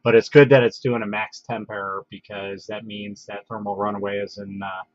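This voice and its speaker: A man hosting a casual livestream, congested from a head cold, talking in a relaxed, halting way with lots of fillers and restarts. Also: fairly low pitch, no echo. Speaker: livestream host. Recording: dull, dry lo-fi home stream audio.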